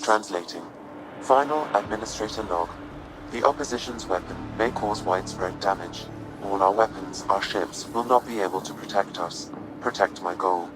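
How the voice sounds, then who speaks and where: An actor playing a calm, precise artificial intelligence in a video game, speaking in a measured, formal, impassive way. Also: male voice, on the low side, loud music behind the voice, natural voice, rough recording. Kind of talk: video game cutscene acting.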